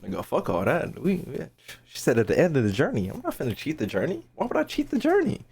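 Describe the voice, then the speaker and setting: A man's voice: fairly high pitch, wildly swooping pitch, fast, gravelly, darkish tone, husky. A young man giving casual livestream game commentary, slangy and profane, with playful rants. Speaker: game streamer.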